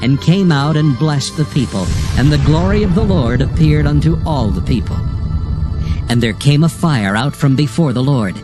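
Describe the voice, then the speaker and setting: A man narrating scripture from a script in a solemn, measured, formal manner. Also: fairly high pitch, lively intonation. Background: music.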